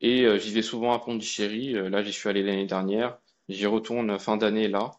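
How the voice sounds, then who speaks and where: A young man from India, a guest telling his story in a relaxed, colloquial way with many fillers and restarts. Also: on the low side, brisk, muffled recording. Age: young adult. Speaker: guest, AI researcher. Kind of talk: casual conversation.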